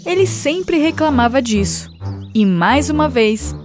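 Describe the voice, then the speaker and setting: A woman's voice: high-pitched, expressive, measured pace. A woman reading scripted children's fables as a storyteller narrator. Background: music.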